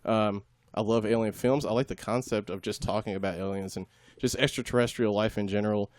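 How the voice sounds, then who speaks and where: A man's voice: lowish voice, steady tone, fairly quick, darkish tone, dry recording, clean studio sound. A man appearing as a podcast guest, talking in an easygoing, laid-back way. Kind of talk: casual chat. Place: small home studio room.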